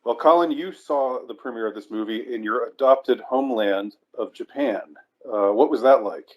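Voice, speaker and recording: A man's voice: medium pitch, normal speed, highs cut off. A man hosting a podcast discussion, talking casually and hesitantly with many restarts. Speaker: podcast host.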